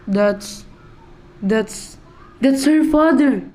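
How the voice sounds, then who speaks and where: Woman acting very slow, nervous young man. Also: a little high, lively intonation, mellow, slight background noise. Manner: hesitant. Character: nervous, evasive young man, hesitant and cornered. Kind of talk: amateur radio play acting.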